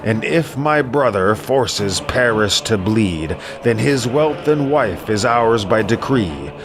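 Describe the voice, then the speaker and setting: A man playing a solemn ancient king, declaiming prayers and proclamations in measured verse for an audio drama. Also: on the low side, animated, background noise. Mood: solemn invocation.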